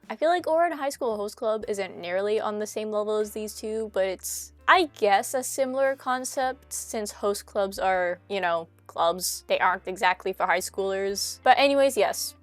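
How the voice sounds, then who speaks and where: A young woman from Canada talking through casual commentary, chatty and self-deprecating with quick asides, her voice a bit nasal from a cold. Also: mid-range voice, no echo. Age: young adult. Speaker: commentary YouTuber.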